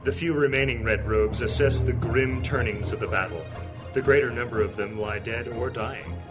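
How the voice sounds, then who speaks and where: Male narrator, medium pitch, dramatic scripted fantasy storytelling with music underneath. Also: normal speed.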